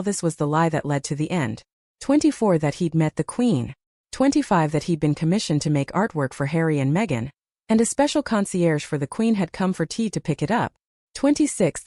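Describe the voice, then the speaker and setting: Dark-voiced woman reading a list. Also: on the low side, no room sound, clean studio sound. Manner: numbered list read with a lift on each number. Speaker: narrator. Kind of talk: reading a reddit story aloud.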